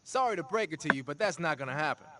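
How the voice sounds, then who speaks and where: An actor playing a talkative, streetwise tech and weapons expert in a video game, explaining things informally. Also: male voice, a little high, expressive, quick pace, heavy background noise, lightly acted. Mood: frank, earnest.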